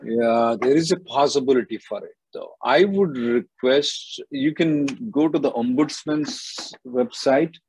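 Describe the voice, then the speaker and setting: Male call-in host, husky and dry, blunt short answers. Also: medium pitch, measured pace, few pauses, darkish tone, no room sound, low-quality recording. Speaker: immigration advice show host. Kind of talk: live call-in advice.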